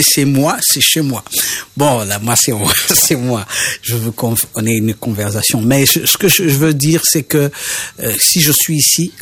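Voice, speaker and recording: Husky Rwandan man, animated and halting. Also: mid-range voice. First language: Kinyarwanda. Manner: animated, repeats words, hesitant fillers. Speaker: interviewee, singer. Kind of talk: casual interview conversation.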